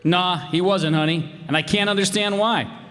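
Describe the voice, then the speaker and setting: A man's voice: sits high, steady tone, normal speed, fairly bright tone. A man playing a vain, folksy, slangy husband and father in a radio comedy re-creation. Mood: boastful, breezy. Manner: boastful, breezy. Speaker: radio player. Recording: reverberant hall, live stage performance.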